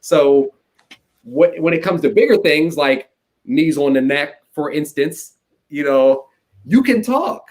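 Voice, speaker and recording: A man in his late twenties, a guest political consultant, talking fast and casually with lots of "like". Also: on the high side, lively intonation, a little mellow. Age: 20s. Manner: fast, conversational, peppered with "like". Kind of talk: casual interview chat.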